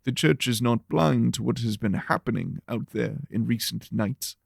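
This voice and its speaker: A man voicing a grave, scholarly church rector who speaks formally and deliberately in an audio drama. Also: mid-range voice, creaky, dry recording, studio quality. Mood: grave, urgent.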